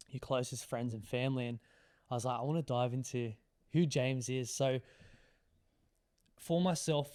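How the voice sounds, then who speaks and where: A man's voice: mid-range voice, a little mellow, clean tone. A man hosting a podcast, asking questions in a friendly, conversational way. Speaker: podcast host. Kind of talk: casual interview.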